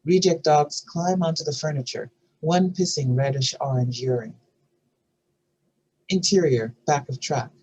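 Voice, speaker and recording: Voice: medium pitch, a little slow, darkish tone, slight background noise, poor audio. A narrator reading scene headings and action lines from a screenplay at a table read. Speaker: narrator reading stage directions.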